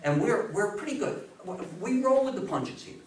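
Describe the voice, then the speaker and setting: An American man in his sixties giving an informal, animated talk to an audience, repeating phrases for emphasis. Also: high-pitched, moderate pace. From United States. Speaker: public speaker on radio history. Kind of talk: informal live lecture to an audience. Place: small meeting room, indoors. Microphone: far camera microphone. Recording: roomy, noisy, distant camera microphone.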